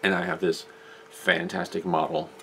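A man's voice: sits low, little pitch movement, normal speed, creaky, sharp tone, a little hiss or hum. A man, chatting to camera in a small room as he reviews a model kit and explains each step. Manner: chatty, explains step by step, gestures while talking. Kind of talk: casual review vlog to camera. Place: small indoor room with model-filled shelves.

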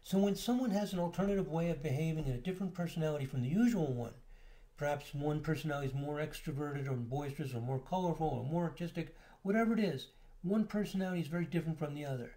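A man talks through a slideshow lecture in an easygoing, explanatory way, stopping here and there on small fillers. His voice sits somewhat high for a man yet sounds warm and mellow rather than bright. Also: fast talker. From United States. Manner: relaxed, explanatory, with filler words. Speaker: astrology lecturer.

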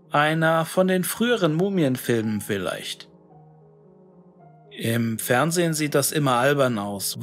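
A man narrating a scripted horror monologue in a musing, deliberate, brooding manner with dramatic pauses. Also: medium pitch. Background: music.

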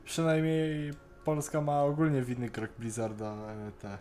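A man's voice: medium pitch, completely flat delivery, moderate pace, mellow, a little hiss or hum. A man chatting casually on an online call, often stammering and repeating the starts of words. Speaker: co-host on a Skype call. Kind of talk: casual chat reviewing an animated series.

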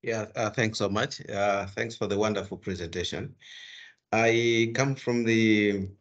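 Deep-voiced man from Malawi asking. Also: a little slow. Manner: courteous, hesitant fillers. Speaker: seminar participant. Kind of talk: asking a question in online seminar. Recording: muffled video call audio.

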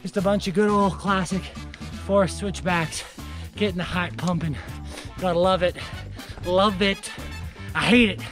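A man's voice: high-pitched, steady tone, a little slow, pauses often. A young man chatting casually and playfully to a handheld camera as a hiking vlogger, with enthusiasm. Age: young adult. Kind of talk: casual outdoor vlog chat. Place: mountain trail outdoors and small wooden hut. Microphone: handheld action camera at arm's length. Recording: background music under the voice.

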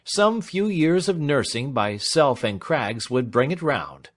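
A man's voice: medium pitch, big pitch swings, slow, voice pushed up. A man voicing a shrewd, whimsical older lawyer with a dry, emphatic manner in a storybook reading. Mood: dry, advising. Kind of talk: dramatised reading of classic fiction.

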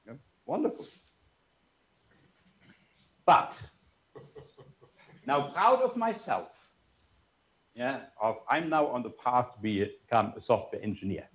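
An older man with a German accent lectures in a roomy, slightly muffled hall, his pitch rising and falling in lively swings. He tells stories casually, dropping in "you know" and "yeah" as he goes. His voice sits at a middle pitch for a man. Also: slow pace, pauses often. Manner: conversational, frequent "you know" and "yeah" tags. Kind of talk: memorial lecture with personal storytelling. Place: conference room with audience. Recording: roomy, slightly dull lecture room sound.

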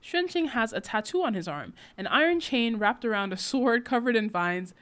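A woman's voice: fairly high pitch, expressive, normal speed, fairly bright tone. A young woman, a book recap vlogger, narrating casually with wry, sarcastic asides.

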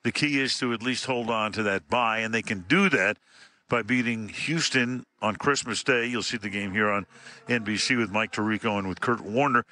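An older man, a play-by-play announcer in a headset, wrapping up a broadcast with relaxed, wry banter. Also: on the low side, moderate pace. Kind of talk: broadcast sports commentary. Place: outdoor stadium booth set.

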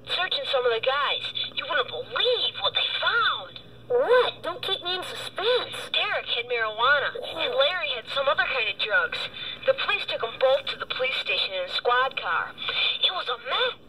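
Actress for young female gossip, radio drama, animated and high, tinny small-radio sound with noise. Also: normal speed, full character voice. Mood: agitated, curious. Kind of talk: scripted radio drama dialogue.

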